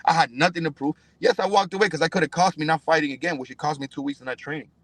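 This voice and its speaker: Young man, high-pitched voice, dry close sound, profane street slang, emphatic casual interview talk, from Cuba. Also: very fast, a bit bright, no room sound. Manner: emphatic, slangy, punctuated with "bro" and "you know what I'm saying". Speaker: professional fighter, podcast guest.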